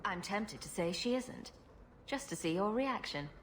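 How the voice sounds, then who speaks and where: A woman playing a sarcastic, quick-witted rogue heroine in a video game, with a wry manner. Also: medium pitch, animated, close to an everyday voice. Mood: flippant. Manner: wry, flippant, teasing. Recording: faint background ambience noise.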